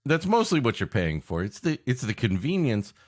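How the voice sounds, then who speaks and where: A man co-hosting a podcast with improvised comic banter in a halting, mock-earnest, repetitive way. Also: mid-range voice, sing-song. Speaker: podcast co-host.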